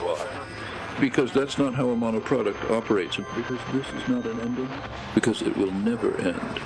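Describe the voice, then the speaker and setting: Brooding male narrator, acted by a man, rhetorical, creaky mid-pitched voice, scripted radio drama. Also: normal speed, close to an everyday voice, poor audio.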